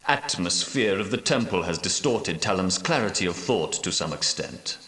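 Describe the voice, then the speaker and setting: An old knightly guardian of a holy relic in a video game. His voice is low, solemn and portentous, with formal phrasing. It rings in a roomy echo, a little distant from the microphone. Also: a bit bright. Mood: measured, regretful. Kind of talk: video game dramatic dialogue.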